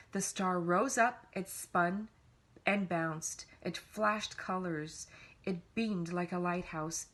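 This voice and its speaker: A woman reads a children's storybook aloud in an animated way, leaning on key words with dramatic emphasis. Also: lowish voice. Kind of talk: expressive read-aloud storytelling.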